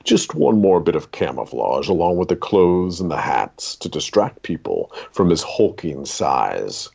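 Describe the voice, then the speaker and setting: Man, very low husky voice, slow pace, dramatic short story reading, slightly muffled audio. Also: big pitch swings, darkish tone. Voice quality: gravelly, husky.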